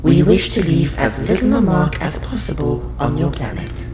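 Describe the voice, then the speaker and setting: A man's voice: fairly high pitch, sing-song. A man voicing a polite, patient alien researcher in an audio roleplay, speaking formally and precisely to a human. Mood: formal, courteous. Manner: formal, precise, courteous, measured. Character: polite, patient male alien scientist abductor interviewing a human. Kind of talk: audio roleplay addressed to the listener. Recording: roomy echo, loud background music bed. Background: music.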